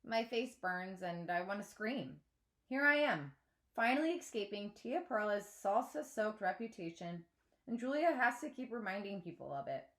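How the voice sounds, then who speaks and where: Female teacher, mid-range voice, expressive children's book read-aloud. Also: medium pitch, normal speed.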